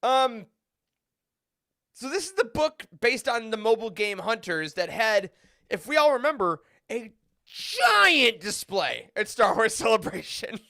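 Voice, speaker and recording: A host of a fan roundtable, chatting casually in an animated, jokey way. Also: mid-range voice, unhurried. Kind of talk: casual chat, hosting.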